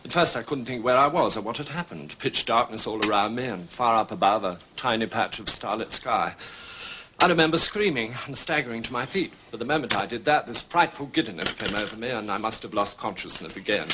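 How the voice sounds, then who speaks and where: An actor playing an articulate gentleman artist who speaks precisely and emphatically, with a testy, indignant temper. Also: mid-range voice, fairly quick, background noise, lightly acted. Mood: dramatic recounting.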